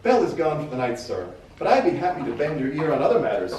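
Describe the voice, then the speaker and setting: A woman's voice: deep voice, sing-song, fast, warm tone, distant mic, a little hiss or hum, voice pushed up. A man reading as a blunt, cutting army major. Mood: earnest. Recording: roomy hall from off-mic.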